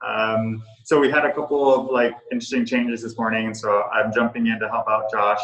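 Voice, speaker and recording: A middle-aged man, the co-founder of a coworking space, talking casually and haltingly with many fillers and restarts. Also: fairly low pitch, flat delivery, a bit bright. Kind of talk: casual talk on a virtual tour. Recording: echoey room.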